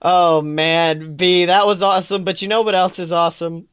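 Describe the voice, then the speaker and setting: A man hosting a podcast in casual chat, talking in an excitable, slangy, sweary way. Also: high-pitched, normal speed, smooth. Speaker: podcast host.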